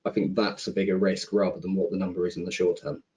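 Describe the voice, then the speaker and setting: A man's voice: low-pitched, level tone, fast, darkish tone. A man speaking as an investment panelist on a conference call, thinking aloud in a conversational, informal way. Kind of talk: conversational roundtable commentary.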